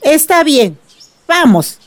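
A woman's voice: high-pitched, big pitch swings, takes it slowly, exaggerated voice. An actress playing a skeptical, blunt village woman in a radio drama, grumbling in colloquial exclamations. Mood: firm, conceding.

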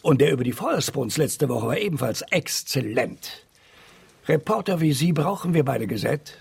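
An actor playing a gruff, pompous middle-aged newspaper editor in a radio drama, raspy and easily exasperated. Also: male voice, a little high, lively intonation, moderate pace, heavily acted. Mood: busy, businesslike. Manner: exasperated, pompous, opinionated, stammering in thought. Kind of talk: German radio drama acting.

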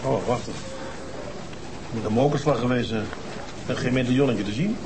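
An actor playing a calm middle-aged police detective who questions people in a measured way, in a Dutch TV drama. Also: male voice, medium pitch, noisy background, lightly acted. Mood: pondering.

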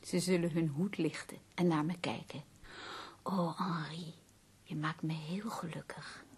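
An actress playing an affectionate, coaxing wife in a radio drama, with a breathy voice and a soft, intimate delivery. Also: female voice, low voice, steady tone, a little slow, exaggerated voice. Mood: delighted, tender. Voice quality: breathy, husky. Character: coaxing, affectionate wife who loves fine clothes.

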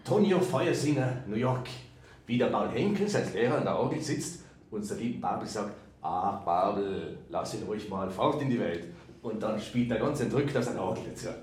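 A man's voice: on the low side, animated, normal speed, darkish tone, natural voice. A man in a radio play acting an eager, chatty star of mountain films, recorded in an echoey room. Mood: eager, enthused. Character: eager, chatty mountain-film star recounting his movie.

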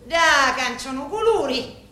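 A woman's voice: high voice, expressive, takes it slowly, bright, forceful tone, full character voice. An actress playing a grieving, composed Sicilian woman pleading before a mob boss in a theatrical radio drama, with solemn, emphatic phrasing. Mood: confiding. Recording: roomy echo with faint background noise.

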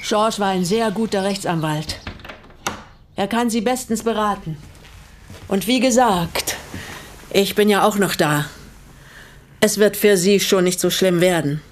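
An actress playing a vengeful, commanding middle-aged widow in a radio crime drama, speaking curtly. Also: female voice, mid-range voice, a little slow, pauses often, slight background noise, close to an everyday voice. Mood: composed. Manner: curt, commanding.

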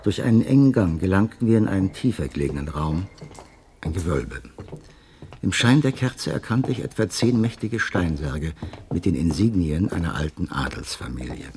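A man in a radio play acting a detective's loyal gentleman companion, narrating and speaking in a composed storytelling manner. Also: sits low, lively intonation, normal speed, slight background noise. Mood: composed, suspenseful narration.

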